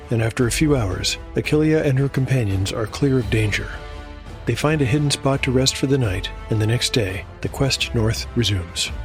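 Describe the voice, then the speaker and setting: A man narrates a scripted fantasy drama as its host. His delivery is measured and solemn, in a mid-range voice, with faint music underneath. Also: medium pitch. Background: background music.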